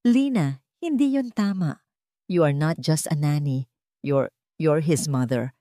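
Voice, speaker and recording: A woman with a Filipino accent playing a repentant, gentle rich father in an audio story. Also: deep voice, animated, slow pace, no room sound, studio quality. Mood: earnest.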